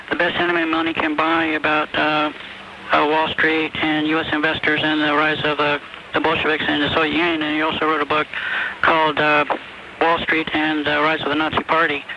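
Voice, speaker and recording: A man's voice: fairly high pitch, completely flat delivery, a little fast, bright, forceful tone. A man calling in to a radio show, speaking hesitantly. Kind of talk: hesitant phone-in question. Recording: dull noisy phone call over shortwave radio.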